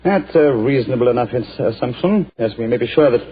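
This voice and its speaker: Brisk detective, lively up-and-down voice, husky old radio sound. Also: male voice, mid-range voice. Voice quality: crisp, clipped and authoritative. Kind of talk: 1940s radio acting. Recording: muffled old 1940s radio broadcast.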